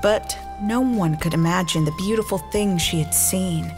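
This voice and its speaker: A woman's voice: lowish voice, moderate pace, music in the background. A woman narrating a scripted children's storybook tale.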